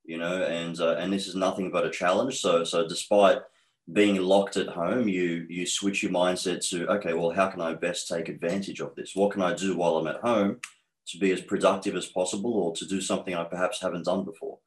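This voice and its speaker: A man's voice: low-pitched, steady tone, brisk, reverberant. A man in his thirties with an Australian accent, a guest in a podcast interview, talking in an earnest, upbeat way with many fillers and restarts. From Australia, born in Egypt. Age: 30s.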